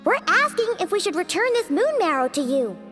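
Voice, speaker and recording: A woman voicing a tiny, childlike, chatty fairy companion in an anime-style video game, squeaky, curious and informal. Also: sing-song, brisk. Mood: confused, inquisitive. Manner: informal, talks of herself in third person. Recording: music behind the voice.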